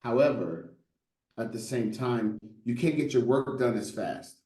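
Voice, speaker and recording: This is a middle-aged man sharing stories in a relaxed interview. He has a soft, mellow tone and keeps checking in with rhetorical "right?" asides. His voice rings a little in an echoey room. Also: medium pitch, unhurried. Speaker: guest, brand designer. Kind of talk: casual interview chat.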